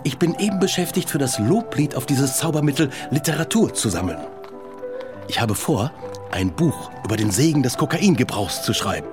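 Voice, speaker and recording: A man's voice: mid-range voice, expressive, normal speed, over music, close to an everyday voice. An actor in a German radio drama playing a vain, self-pitying male psychiatrist with a grandiose, theatrical delivery. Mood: euphoric boasting. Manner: grandiose, theatrical, swooping between boast and wail. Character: Sigmund Freud, vain, self-pitying, hysterical cocaine-loving man.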